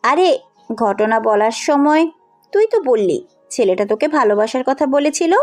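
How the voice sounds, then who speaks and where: Audio drama daughter: blunt, caring young woman played by a woman, animated high voice, music underneath. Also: measured pace. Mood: insistent. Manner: blunt, insistent. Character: headstrong, compassionate young woman, outspoken businesswoman daughter. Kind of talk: Bengali audio story acting.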